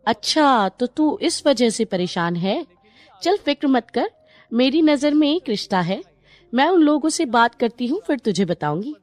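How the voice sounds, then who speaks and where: Woman voicing a high, lively, chatty matchmaking aunty. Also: measured pace. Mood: chatty, reassuring. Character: brisk, chatty female relative who arranges matches. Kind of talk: animated Hindi moral story dialogue.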